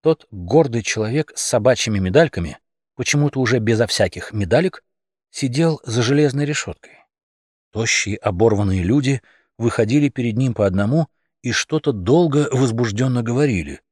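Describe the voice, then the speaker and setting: A man narrating a satirical fairy tale as an expressive audiobook storyteller. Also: medium pitch, normal speed, husky, dry recording, clean studio sound.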